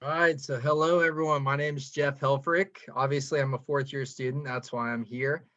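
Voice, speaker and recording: Male vet student, 20s, mid-pitched, relaxed, dead-dry lo-fi call audio. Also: brisk, no echo. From Evansville, Indiana, US. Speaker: fourth-year veterinary student. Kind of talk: casual panel talk on a video call. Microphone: video call.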